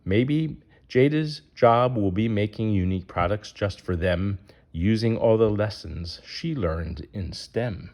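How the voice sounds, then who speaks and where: A man's voice: fairly low pitch, a little slow, darkish tone. A man reading a rhyming children's picture book aloud as its narrator.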